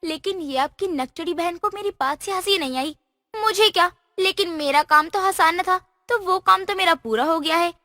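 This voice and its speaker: A woman's voice: extremely high pitch, moderate pace, pushed and bright, no echo, studio quality. A woman voicing a cheeky, playful fairy girl in a cartoon story. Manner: teasing, chirpy, mischievous. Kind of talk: cartoon voice acting.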